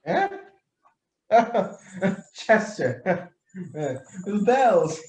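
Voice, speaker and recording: A man acting a tipsy, jovial, foolish nobleman in a radio play, slurred and halting with giggly rambling. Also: high-pitched, very animated, a little mellow, exaggerated voice. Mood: giggly, drunk. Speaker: student actor in a radio play. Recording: echoey room recording.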